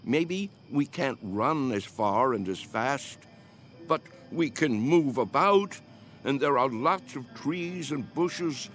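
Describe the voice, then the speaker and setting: A man's voice: mid-range voice, lively intonation, slow pace, fairly bright tone, faint music behind. An actor playing a spirited, pleading old man in a radio drama, earnest, coaxing and dreamy in his delivery.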